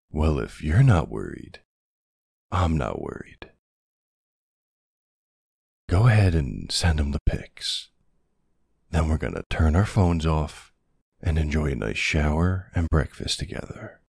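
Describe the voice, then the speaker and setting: A man playing a protective older ex-military boyfriend, gruff but tender, in an intimate ASMR roleplay with a gravelly, husky, soothing voice. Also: very deep voice, big pitch swings, a little mellow, no room sound, studio quality, full character voice. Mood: affectionate. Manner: slow, hesitant, soothing.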